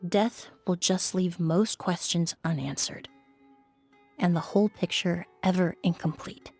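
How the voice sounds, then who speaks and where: A woman's voice: lowish voice, steady tone, measured pace, a little mellow, faint music behind. An actress playing a composed, analytical young woman investigator in a video game, earnest and articulate. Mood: earnest, resolute. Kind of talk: video game character acting.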